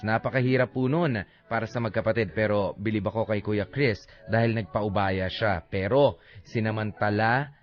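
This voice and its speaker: A man with a Filipino accent co-hosting a radio show, casually reading listener messages and giving advice in a halting, conversational way. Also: lowish voice, lo-fi sound. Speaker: radio DJ co-host.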